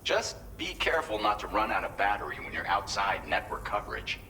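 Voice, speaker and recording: Friendly game colleague giving briefings; lowish, bright, forward voice, earnest and explanatory, faint ambience. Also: male voice, big pitch swings, a little fast, slight background noise. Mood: upbeat, helpful. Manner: chatty, considerate, explanatory. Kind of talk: video game briefing dialogue.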